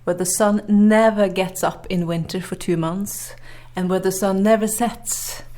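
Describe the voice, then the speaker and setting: A woman's voice: medium pitch, steady tone, unhurried, warm tone. A woman with a Norwegian accent, a guest chatting in an interview about her career. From Norway. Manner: chatty, with frequent restarts and ums. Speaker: guest, journalist and author. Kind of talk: casual interview chat.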